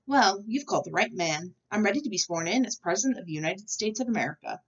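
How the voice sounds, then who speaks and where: Woman voicing an animated, ambitious vice president. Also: mid-range voice, fast talker, distant mic, dull audio. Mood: eagerly ambitious. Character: opportunistic, eager male vice president.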